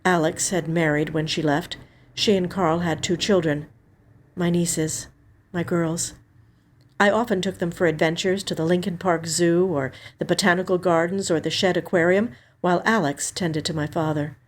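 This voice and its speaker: A woman reading a first-person mystery story as a scripted narration, measured and expressive. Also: on the low side. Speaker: actor reading a short story.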